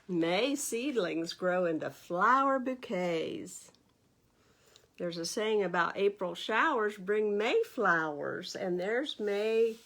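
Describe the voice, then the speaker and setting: Slow-paced American woman reading at storytime. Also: medium pitch. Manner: animated teacherly asides, asking the listeners questions. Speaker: library storytime host reading a picture book. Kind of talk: read-aloud storytime for young children.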